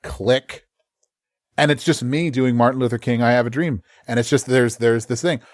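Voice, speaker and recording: A man in casual interview talk, a working voice actor telling stories about his job. He speaks at a middle pitch, animated, and drops into quick put-on voices as he goes. The sound is dry and close to the mic. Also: no room sound. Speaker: voice actor guest.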